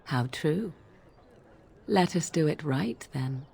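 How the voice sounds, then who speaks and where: A woman playing an aloof, sly, sardonic fantasy character in a video game, husky and creaky, with an archly formal, teasing manner. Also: sits low, lively intonation, slow, darkish tone, exaggerated voice.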